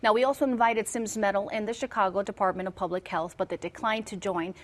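A woman's voice: medium pitch, steady tone. Woman news host asking questions. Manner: brisk, scripted questions. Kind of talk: news interview.

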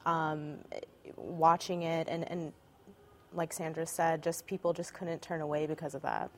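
A woman journalist on a news panel, speaking haltingly and repeating herself as she gathers her thoughts. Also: lowish voice. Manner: halting, repetitive. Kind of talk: panel discussion. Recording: faint background noise.

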